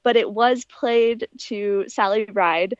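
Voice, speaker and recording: A woman's voice: medium pitch, slow pace, clean tone, no echo, highs cut off. A young adult woman giving conversational, explanatory answers as a guest in an interview. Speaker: interview guest, aspiring astronaut.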